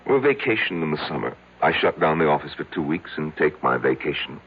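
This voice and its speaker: An actor in a radio drama playing a lonely, self-loathing middle-aged man who confesses haltingly to his psychiatrist. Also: extremely low pitch, sing-song, husky, exaggerated voice. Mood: quiet, matter-of-fact. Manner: halting, hesitant, repeating himself. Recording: tinny, band-limited old radio broadcast.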